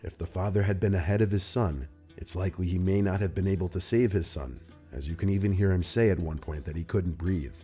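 Deep, mellow male narrator. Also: brisk, husky. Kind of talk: scripted narration. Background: music.

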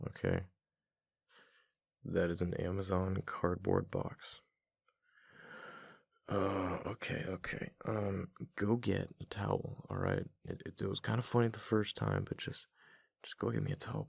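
A deep-voiced husband, acted by a man for a boyfriend ASMR roleplay, with heavy vocal fry and a husky rasp. He talks casually and haltingly, repeating words as he mutters. His manner is fond but playfully exasperated. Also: sing-song, dry recording, clean studio sound, full character voice.

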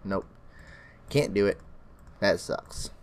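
A young man hosting his own Minecraft let's play, chatting as he plays, his voice crackly and bass-heavy from illness. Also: slow, vocal fry. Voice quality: extra bass from an allergic reaction. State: sick, crackly voice. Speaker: gaming channel host.

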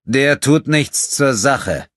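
A man's voice: mid-range voice, expressive, slow pace, a bit bright, dry recording, clean studio sound, voice pushed up. Guy doing a hard threatening stranger in an audiobook, bossy, curt, raised voice. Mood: menacing, commanding. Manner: curt, commanding. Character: hard, menacing broad-shouldered stranger, coolly commanding.

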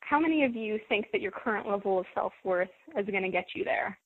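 A woman leads a self-help call in a gentle, encouraging, measured voice. Her voice is a little husky and comes through a thin, tinny phone line with no room sound. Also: mid-range voice, animated, no room sound. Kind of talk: coaching talk.

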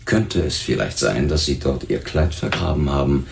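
An actor playing a dry, patient police lieutenant in a German crime radio play, polite, insistent and matter-of-fact. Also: male voice, very deep voice, lively intonation, moderate pace, vocal fry, a little hiss or hum, heavily acted. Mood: calmly insistent.

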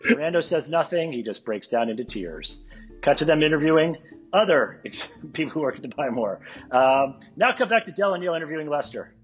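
A man reads stage directions at a live script reading, with very animated, slightly high pitch. The recording is dull, with music behind it. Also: a little fast, breathy edge. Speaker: reader of stage directions at a table read.

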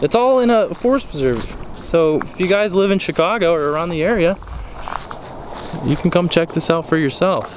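A man's voice: a little high, expressive, moderate pace. An American man hosting an exploring vlog, talking casually and curiously to viewers as he walks. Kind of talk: casual walking commentary to viewers. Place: outdoors in an open overgrown field. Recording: noisy outdoor camera audio.

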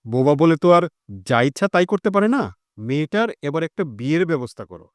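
Guy doing nosy older village uncle in a radio play, scolding, voice goes up and down a lot, kinda high. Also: big pitch swings, a little fast, no echo, clean studio sound. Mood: reproachful admonishing. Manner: insinuating, admonishing. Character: meddling, scolding older village gossip man. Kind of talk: Bengali audio drama acting.

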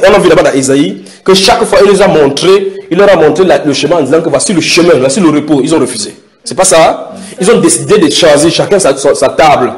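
A man preaching a sermon, questioning the congregation and stretching out key words for emphasis. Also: on the high side. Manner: emphatic, questions the audience, drawn-out stresses.

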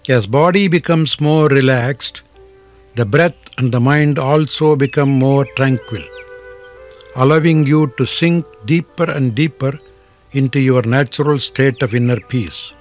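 A man leads a guided meditation at a very unhurried pace. His mid-pitched voice moves in short, deliberate phrases with frequent pauses, giving instructions as a yoga teacher would. Faint music sits behind him. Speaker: yoga teacher guiding deep relaxation.

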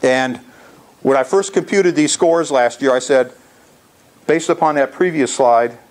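A man in his sixties with a gravelly voice, giving an informal slide presentation to a club. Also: mid-range voice, a little slow. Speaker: amateur radio club presenter. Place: indoor lecture room.

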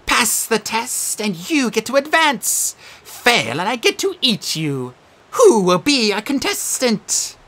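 A man voicing a gleeful female sphinx who hosts a game show, with a showy, drawn-out announcer delivery. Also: very high-pitched, sing-song, sharp tone, pitched above the performer's own voice, heavily acted. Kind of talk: audiobook character voice.

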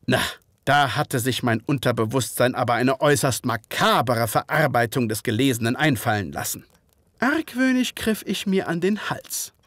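An actor playing a gentleman physician and former soldier, narrating an audio drama in measured, literary fashion. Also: medium pitch, animated, no echo. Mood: reflective.

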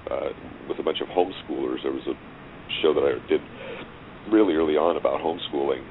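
A middle-aged man speaking as an interview guest, reflecting casually in a halting, thoughtful way. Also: a bass voice. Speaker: interview guest, radio drama writer. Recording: noisy, muffled phone-like line.

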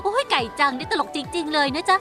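A woman's voice: high voice, fast, lightly acted. A woman playing a cheerful, easily amused female schoolteacher in a cartoon dub. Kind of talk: Thai cartoon dubbing. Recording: loud music behind.

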